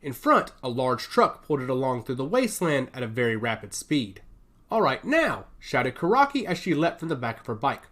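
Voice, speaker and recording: A man reading a scripted dramatic story as narrator, in an expressive, descriptive way. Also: medium pitch, a little fast, few pauses. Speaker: audio fiction narrator.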